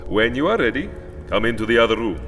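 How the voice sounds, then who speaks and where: Elderly vampire nobleman, foreign accent, animated intonation, formal and commanding, gothic audio drama, music behind. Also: mid-range voice. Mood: gracious, formal. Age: older. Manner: courtly, formal, deliberate. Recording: long hall reverb, music behind.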